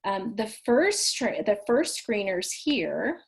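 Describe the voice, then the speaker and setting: Woman trainer, a bit raspy, folksy and conversational on a video call. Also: medium pitch, sing-song, slow pace. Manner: chatty, folksy, frequent ums and restarts. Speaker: counselor trainer leading a webinar. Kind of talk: online training presentation.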